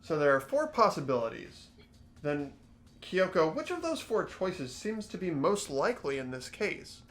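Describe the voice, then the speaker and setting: A man's voice: on the high side, big pitch swings, normal speed, a bit bright, faint music behind. A man voicing an earnest, curious and unsure teenage schoolboy in a fan-voiced mystery game, questioning and thinking aloud. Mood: earnest, resolved. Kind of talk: fan voice-over of a mystery visual novel.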